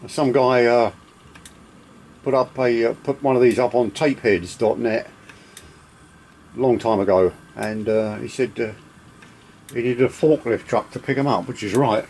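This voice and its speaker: Male, mid-pitched with vocal fry, halting off-the-cuff equipment demo, light background noise. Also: a little mellow. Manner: halting, thinking aloud. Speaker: vintage audio equipment collector. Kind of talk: unscripted hands-on demonstration, casual talk.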